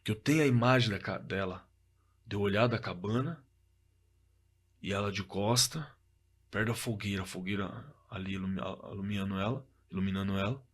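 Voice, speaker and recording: A man playing a shaken witness in an audio drama, recounting a frightening encounter in a halting, stammering, conversational way. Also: on the low side, husky. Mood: shaken, haunted. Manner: halting, stammering, repeating himself.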